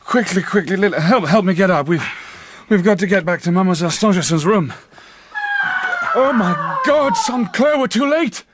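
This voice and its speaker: An actor playing an intense amateur detective in a radio drama, emotional and urgent. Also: male voice, high-pitched, lively intonation, moderate pace, soft background music. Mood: urgent alarm.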